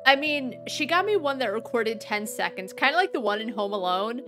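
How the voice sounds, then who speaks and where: A woman in her thirties streaming a game, with a dry, sometimes monotone-sounding voice, chatting and rambling. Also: on the high side, fairly quick. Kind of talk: casual gameplay commentary. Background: faint game music.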